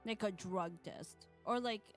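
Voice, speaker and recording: A woman's voice: medium pitch, unhurried, soft background music. A woman reading a visual novel's first-person narration aloud on a stream, with casual asides.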